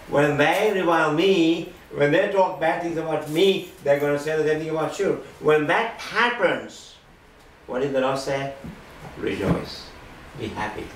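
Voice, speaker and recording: An older man giving an earnest testimony sermon with a loud voice, swinging between hushed and forceful delivery. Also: a little high, slight background noise. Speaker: lay preacher giving testimony. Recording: roomy and distant with faint ambience.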